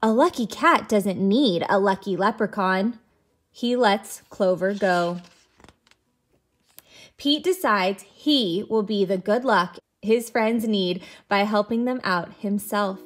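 A woman in her thirties reading and teaching for young children in an expressive, patient way. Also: medium pitch, slow, echoey room. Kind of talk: children's read-aloud and lesson.

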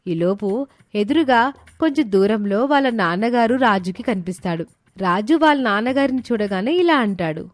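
A woman's voice: fairly high pitch, animated, normal speed, dry recording. A woman narrating a scripted moral story as a storyteller.

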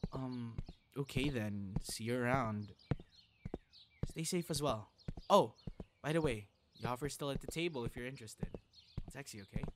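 A man's voice: mid-range voice, noisy background, close to an everyday voice. Man acting a friendly, coaxing young guy. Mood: puzzled, subdued. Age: young adult. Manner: earnest, coaxing, sighs and breathy reactions. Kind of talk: ASMR audio roleplay, one-sided dialogue to listener.

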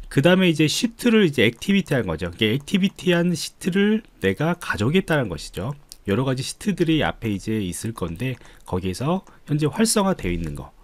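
A man's voice: medium pitch, a little mellow, no room sound. A man giving a screencast coding tutorial as an instructor, explaining politely step by step. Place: indoors, voice-over on screen recording.

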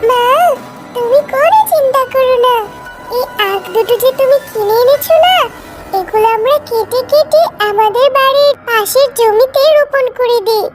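Woman voicing a very high, chirpy fox girl. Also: normal speed. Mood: encouraging. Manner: chirpy, childlike, wheedling. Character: eager, bright little fox girl, devoted daughter. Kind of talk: children's cartoon story dialogue. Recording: music behind the voice.

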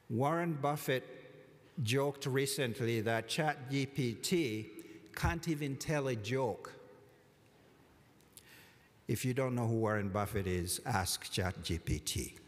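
A man giving a formal commencement address from a lectern, measured and deliberate with touches of dry humor. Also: mid-range voice. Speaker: college commencement speaker. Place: large hall at a lectern, indoors. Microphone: lectern microphone. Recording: long hall reverb.

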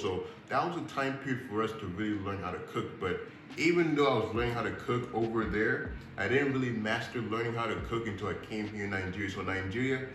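A young American man sits on a sofa at home and chats earnestly to his camera, calling the viewers y'all. His voice sits low and gets livelier when he is excited. The room echoes, and a fan hums faintly behind him. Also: noisy background. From Houston, Texas, United States. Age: young adult. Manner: relaxed and earnest, addressing viewers as y'all, gets animated with rising pitch. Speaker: vlogger. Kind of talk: casual to-camera vlog talk. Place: indoor room with a fan running, seated on a sofa. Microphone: built-in camera mic at a short distance.

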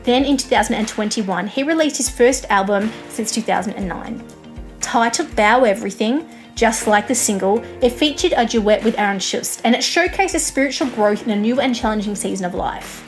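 A woman's voice: fairly high pitch, expressive, moderate pace, faint music behind. A woman with an Australian accent, hosting a podcast interview in a chatty, enthusiastic way. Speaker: podcast host.

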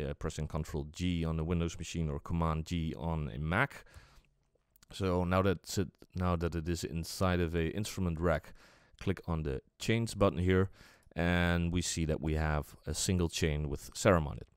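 A man presenting a casual music software tutorial, explaining step by step in an unscripted, halting way. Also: deep voice, smooth, no echo, studio quality.

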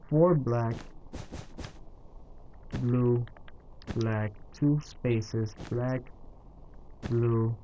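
A man narrating a casual step-by-step game tutorial in a halting, instructional way, counting out items as he goes. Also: medium pitch, mellow, a little hiss or hum, rough recording. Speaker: tutorial host.

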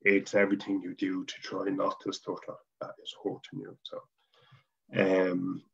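A man's voice: low voice, completely flat delivery, normal speed, creaky, dull audio. A middle-aged Irish man who stutters, speaking as a guest on a video call from home. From Ireland. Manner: stutters, with repeated sounds and blocks. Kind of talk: casual conversation. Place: home room.

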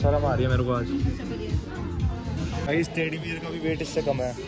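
A young man with a slightly high voice jokes and grumbles his way through a cafe review, holding his phone out at arm's length. His talk is brash and full of mocking slang. Loud music and a chattering crowd fill the room behind him. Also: steady tone, normal speed. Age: young adult. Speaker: vlogger. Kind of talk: casual cafe review vlog. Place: busy cafe indoors.

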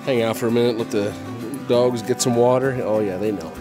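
A man narrating casually while hiking a pine forest trail with his dogs, chatty and relaxed, recorded outdoors on a handheld camera. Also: medium pitch, fairly quick, over music. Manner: laid-back, chatty, talks to his dogs. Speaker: hiking vlogger.

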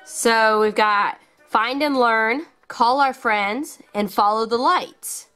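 A woman's voice: on the high side, takes it slowly, bright, forceful tone, clean tone, soft background music. A woman hosting a casual toy showcase for children, speaking enthusiastically in simple phrases. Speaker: children's toy channel host.

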